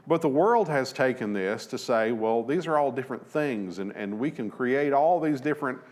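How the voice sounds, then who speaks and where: A man in his thirties teaching a Bible lesson from a church lectern, measured and thoughtful, with frequent fillers and restarts. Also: mid-range voice, expressive, a little mellow. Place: church auditorium at a lectern, slides behind.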